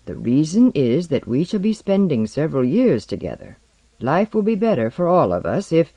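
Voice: medium pitch, very animated, normal speed, dark tone, no room sound. Narrator doing a reserved, patient man. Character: reserved, patient man, a wary space crew member.

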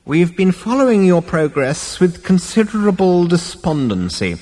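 Withered old ghost alien grandpa, high raspy screech, snappy n pompous, drags words out w scorn. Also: male voice, sing-song, normal speed, a little mellow, in a large hall. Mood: withering disappointment. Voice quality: screechy, rasping. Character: ancient, gaunt, scornful ghostly great-grandfather, a two-headed alien.

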